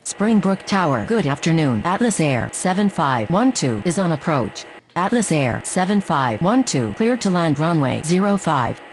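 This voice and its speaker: A woman's voice: low voice, very animated, moderate pace, rough recording. A woman speaking clipped aviation radio phraseology in an air traffic simulator.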